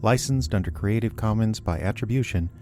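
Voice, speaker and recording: A man reading scripted credits as an announcer, measured and formal. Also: fairly low pitch, mellow. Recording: music behind the voice.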